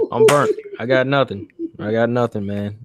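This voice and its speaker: A man's voice: medium pitch, measured pace, a loud crowd. A man from Arkansas talking in a laid-back, hesitant way in a casual podcast chat. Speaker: podcast host.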